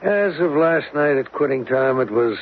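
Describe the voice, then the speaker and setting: An older American actor playing a gruff, impatient veteran oil boss in a radio drama, grumbling and blunt. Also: male voice, medium pitch, animated, moderate pace, lightly acted. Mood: exasperated. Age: older, around 60. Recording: dull lo-fi old radio recording, faint noise.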